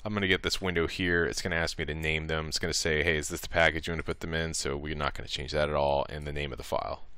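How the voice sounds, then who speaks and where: A man giving a casual, improvised software tutorial, talking through each click as he goes. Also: a bass voice, steady tone, quick pace, few pauses, clean tone, no room sound. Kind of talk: casual step-by-step screen tutorial.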